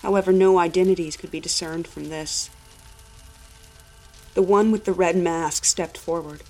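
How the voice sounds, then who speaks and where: A woman with a fairly low voice narrates a horror story in the first person. Her reading is scripted and dramatic, with music playing faintly underneath. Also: steady tone, normal speed, dark tone, low-quality recording.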